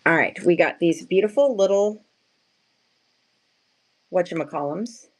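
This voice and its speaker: Slightly hoarse woman, casual live-sale chat. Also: fairly low pitch, lively intonation, a little slow. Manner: self-deprecating, playful slangy wording; chatty, greets and thanks viewers often. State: just woken up, sleepy.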